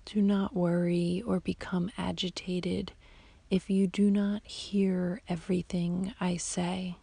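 A woman guiding a sleep meditation as a yoga nidra teacher, in a soft, whispery, soothing voice with long, unhurried pauses. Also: lowish voice, level tone, vocal fry, breathy edge, dry recording. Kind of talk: slow guided sleep meditation.

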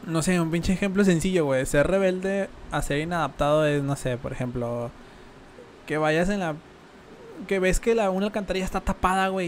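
A young man with a Mexican accent, co-hosting a casual podcast, relaxed and slangy with lots of filler words. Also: a little high, a little hiss or hum. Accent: Mexican Spanish. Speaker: podcast co-host.

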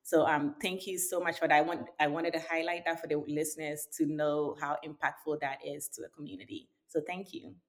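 Deep-voiced woman from ghana, podcast host, earnest and appreciative. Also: level tone, a little fast, few pauses. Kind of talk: podcast interview.

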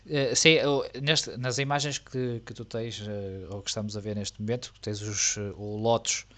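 A man chatting casually as a co-host, relaxed and joking, with frequent fillers. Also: lowish voice, normal speed. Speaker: co-host of a motorsport tech talk. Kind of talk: casual chat.

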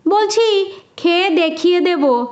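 Casting: cheeky, confident poor peasant man, played by a woman, storybook tale, high voice, unhurried, slightly dull recording. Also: darkish tone. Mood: boastful.

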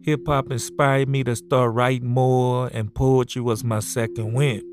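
A middle-aged man from New Orleans, a poet reading a measured self-introduction and a poem. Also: mid-range voice, flat delivery. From New Orleans, USA. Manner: measured, spells words out. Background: soft guitar music.

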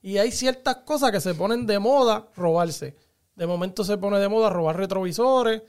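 A man with a Puerto Rican accent hosting a casual chat, animated and jokey, asking the others questions. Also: high voice, moderate pace, smooth. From Puerto Rico. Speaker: podcast host.

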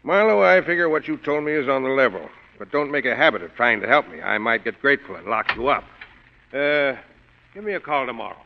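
An actor playing a tough, dry-witted police inspector in a 1940s radio drama, speaking tersely and gruffly. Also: male voice, medium pitch, animated, brisk, slight background noise. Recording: muffled 1940s radio broadcast.

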